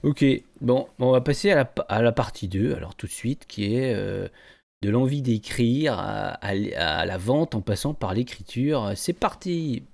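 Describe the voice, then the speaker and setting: A man's voice: medium pitch, lively intonation, measured pace, gravelly, darkish tone. A man hosting a podcast in a casual, colloquial way. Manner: informal, colloquial. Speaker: podcast host.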